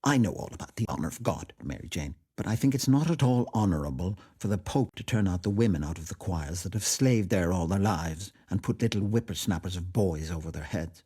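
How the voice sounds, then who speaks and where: A man's voice: low-pitched, lively intonation, brisk, hardly any pauses, warm tone, husky. A man voicing a fiery, indignant old aunt in a storybook, emphatic and scolding.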